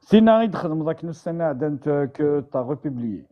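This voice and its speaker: A man's voice: on the high side, lively intonation, unhurried, highs cut off. A man joining a live stream by call, who agrees, hesitates and mixes in Arabic words. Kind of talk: casual live-stream chat.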